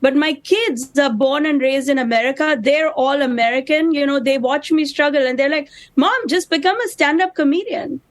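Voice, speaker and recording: A middle-aged Indian woman with a notably high voice talks over a video call. She delivers her jokes in a punchy, deadpan way and makes fun of herself as a guest comedian. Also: moderate pace, hardly any pauses.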